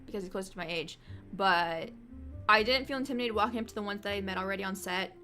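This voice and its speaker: A young woman in her twenties hosts a casual livestream and tells stories in a chatty, excitable way. Her voice is bright and crisp, sitting at a middle pitch, with soft music playing behind her. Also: fast. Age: 20s.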